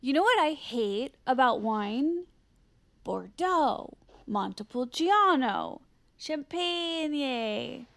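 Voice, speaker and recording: Ditzy young aide in audio drama: high, bouncy voice, guileless and blunt. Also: female voice, animated, extremely slow, vocal fry, a bit bright, exaggerated voice. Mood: tipsy, blunt. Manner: guileless, quirky chatter.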